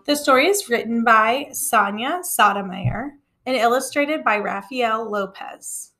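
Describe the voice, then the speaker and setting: A woman narrates a children's storybook in a fairly high, bright voice. Her reading is deliberate and expressive, shaped for young listeners. Music plays along with her. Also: rough recording. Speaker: educator reading aloud to children. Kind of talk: read-aloud storybook narration.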